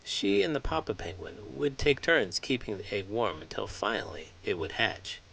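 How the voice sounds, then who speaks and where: A man reads aloud for children in an expressive, emphatic storytelling manner, as a host and teacher would. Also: lowish voice, moderate pace, vocal fry, breathy edge, poor audio.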